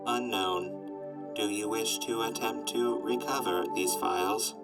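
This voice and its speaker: A man voicing a glitchy robot assistant that makes flat, formal machine announcements. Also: fairly low pitch, takes it slowly, loud music behind the voice. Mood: flat, procedural. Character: glitchy deadpan robot assistant, helpful but unsettling.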